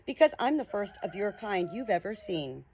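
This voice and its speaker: A woman's voice: medium pitch, sing-song, a little mellow, slight background noise, pitched above the performer's own voice. A woman voicing a creepy, smug male ghost in an audiobook, condescending and theatrical, drawing out his words. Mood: smug, taunting. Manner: condescending, drawn-out, dramatic delivery.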